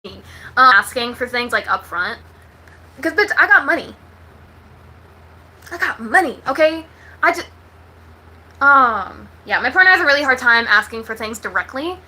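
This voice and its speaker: Bright, high-voiced American woman chatting bluntly. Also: sing-song, moderate pace, pauses often. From Texas, United States. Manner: blunt, slangy, swears freely. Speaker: livestream host. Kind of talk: casual chat to viewers.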